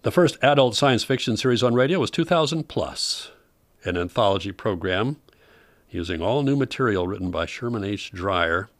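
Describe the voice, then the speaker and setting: A man hosting an old-time radio program, narrating from a script in a relaxed way. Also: lowish voice, normal speed, no room sound.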